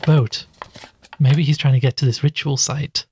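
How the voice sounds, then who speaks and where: An actor playing an anxious, weary podcaster in an audio drama, with a brooding, reflective manner. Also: male voice, mid-range voice, steady tone, normal speed, a little mellow, breathy edge, no echo, muffled recording, lightly acted. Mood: urgent, puzzling out. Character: anxious, weary male podcaster drawn into occult mystery. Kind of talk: audio drama narration and dialogue.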